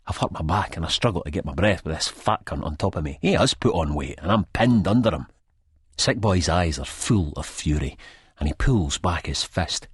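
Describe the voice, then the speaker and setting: Audiobook narrator, male, Scottish: low and heavily rasping, expressive range, colloquial first-person storytelling. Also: dry recording, studio quality.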